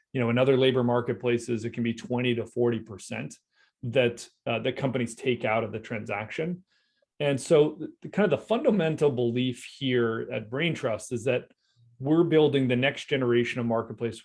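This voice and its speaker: A man's voice: medium pitch, normal speed, darkish tone. A man presenting an online company update, explaining at length and often repeating words as he thinks. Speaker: co-founder presenting.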